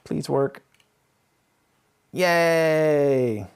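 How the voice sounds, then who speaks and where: A man's voice: a little high, extremely slow, smooth. A man hosting a casual live stream, thinking aloud as he works and chatting easily with viewers. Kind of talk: casual live stream chat while working.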